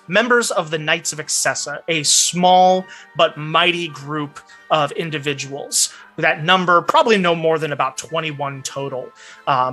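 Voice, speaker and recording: A man runs a tabletop game as its storyteller. His voice is bright and forward, with measured, dramatic phrasing. Soft music plays underneath. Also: on the high side. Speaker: game master. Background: background music.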